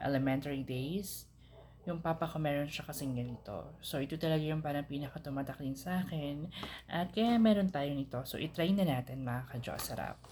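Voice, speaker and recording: A woman's voice: sits low, darkish tone. A woman with a Filipino accent chatting casually as a vlogger in an unboxing video, switching between two languages. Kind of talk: casual unboxing vlog chat, mixing Tagalog and English. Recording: clearly noisy background.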